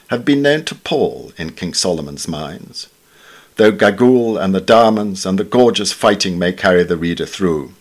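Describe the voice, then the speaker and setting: A man reading literary essays from a script in a formal, measured manner. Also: deep voice. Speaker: reader of newspaper essays.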